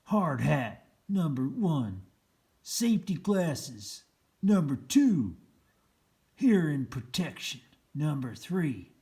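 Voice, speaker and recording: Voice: medium pitch, very animated, slow, dark tone. A storyteller reading a children's picture book aloud in a theatrical, playful way.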